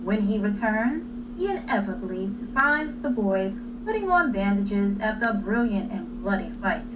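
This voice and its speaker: A woman reading a children's story aloud in an expressive, deliberate way, leaning on key words. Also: medium pitch. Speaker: storybook reader. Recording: distant mic with clear background noise.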